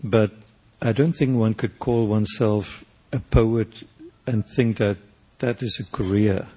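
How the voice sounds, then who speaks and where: A South African man past middle age, his native tongue Afrikaans, speaks in a low, slightly husky voice. He answers questions slowly and reflectively, circling back and restarting his sentences as he searches for words. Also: fairly even intonation, warm tone. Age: older. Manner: thoughtful, halting, many restarts and fillers. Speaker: poet and painter, interview guest.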